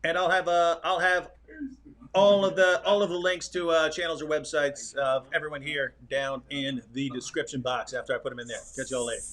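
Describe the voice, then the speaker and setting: Laid-back guy hosting a stream from a hotel, folksy, a bit high voice. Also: normal speed. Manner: relaxed, folksy, loose fillers. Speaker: livestream host. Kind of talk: casual livestream chat.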